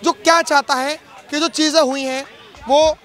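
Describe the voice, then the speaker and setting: A man's voice: very high voice, steady tone, moderate pace, fairly bright tone, some chatter behind. A man working as a street interviewer, putting emphatic questions on social issues to passers-by and repeating his phrases.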